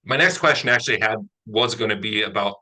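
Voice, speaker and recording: Very bright, forceful-voiced man interviewing. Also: on the low side, fast talker, rough recording. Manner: explanatory, analytical. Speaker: interviewer, audio drama writer. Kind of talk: conversational interview.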